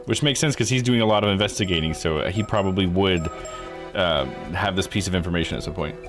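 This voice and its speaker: A man's voice: deep voice, quick pace, over music. A man giving casual live let's-play commentary on a visual novel, thinking aloud as he plays. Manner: thinks aloud, stumbles over unfamiliar words. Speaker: streamer playing and reading a visual novel.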